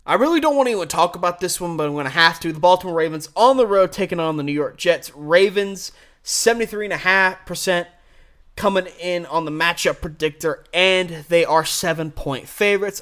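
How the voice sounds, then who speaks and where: A man's voice: on the high side, sharp tone. An American man hosting a solo sports show, giving blunt, opinionated commentary and game predictions.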